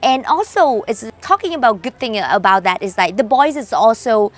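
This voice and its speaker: A woman's voice: on the high side, lively intonation, moderate pace. A woman from Vietnam hosting an interview, chatting and asking questions in broken English. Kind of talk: casual interview chat.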